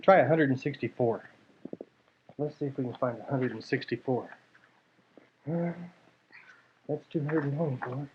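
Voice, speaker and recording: A man's voice: medium pitch, normal speed, long pauses, a little hiss or hum. A man reading a story aloud to a child, expressively, with casual asides. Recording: dull lo-fi home recording.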